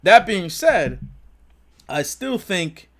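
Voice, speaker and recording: A man's voice: high voice, very animated, drawn-out words, fairly bright tone. Casual, slangy man talking fandom. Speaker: podcast co-host. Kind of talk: casual fan discussion and speculation.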